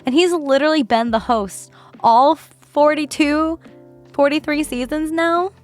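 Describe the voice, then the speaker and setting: A woman streamer chatting casually with her viewers, her voice worn from a bad cough, keeping it lowered and laughing often. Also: unhurried, soft background music. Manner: chatty, rambling with fillers. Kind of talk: casual livestream chat.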